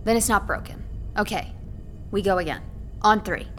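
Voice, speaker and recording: A woman's voice: mid-range voice, moderate pace, faint music behind, natural voice. An actress playing a sarcastic, exasperated young woman trapped in an apartment, in a naturalistic audio horror drama. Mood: exasperated, insistent. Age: young adult. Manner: sarcastic, bossy, naturalistic dialogue.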